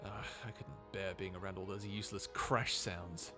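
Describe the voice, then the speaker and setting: A man's voice: sits low, flat delivery, normal speed, bright, forceful tone, faint music behind. A man playing a sarcastic, easily exasperated human scientist in an informal, conversational audio roleplay drama. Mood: grumbling, sarcastic. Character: wry, grumbling human scientist, reluctant companion of a robot.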